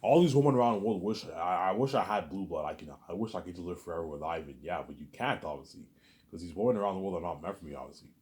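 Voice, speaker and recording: A man talking straight to his audience in a rambling monologue, repeating himself, stumbling over restarts, and letting his asides fade quietly. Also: deep voice, fast talker. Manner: repetitive, stammers on restarts, trails off quietly on asides. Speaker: solo vlogger.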